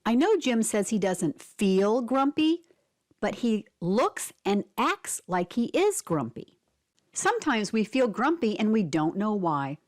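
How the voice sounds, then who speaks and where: A woman reads a children's picture book aloud at an unhurried pace. Her pitch moves around a lot as she explains things simply and puts questions to young listeners. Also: big pitch swings. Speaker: read-aloud narrator.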